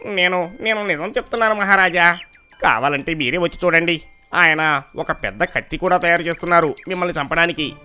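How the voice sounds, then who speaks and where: A man voicing a nervous, pleading monkey in an animated fable. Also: on the high side, brisk, smooth, faint music behind. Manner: urgent, stammering deference.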